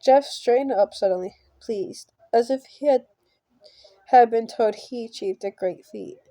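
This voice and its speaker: A woman hosting a game channel reads a visual novel aloud with casual commentary. Her voice is slightly high and mellow, and she goes slowly, repeating words as she stumbles, with a giggly manner. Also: no room sound. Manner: halting reading, stumbling over and repeating words. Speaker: gaming channel host.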